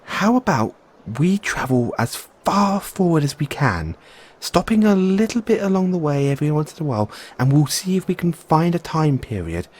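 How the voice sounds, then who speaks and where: A man playing a tender, excitable mad scientist boyfriend in an audio roleplay, speaking in a halting, geeky manner. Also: a little high, slightly rough, heavily acted. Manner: halting, geeky, swinging between gentle and eager. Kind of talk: scripted audio roleplay to listener.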